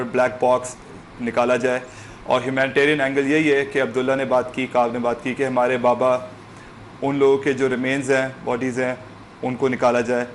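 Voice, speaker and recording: Guy with a flat medium voice, methodical, hesitant, english tech words, slight noise. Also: medium pitch. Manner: methodical, hesitant fillers, English technical terms. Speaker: bereaved relative being interviewed. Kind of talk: structured interview appeal. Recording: faint background noise.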